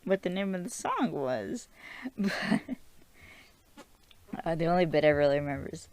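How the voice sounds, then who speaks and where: A woman with a low voice hosts a casual fan recap of a TV episode. She rambles in a friendly way, leaning on fillers and breaking into small giggles. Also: lively intonation, moderate pace, a little mellow. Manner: chatty, filler-heavy, giggly. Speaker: podcast host.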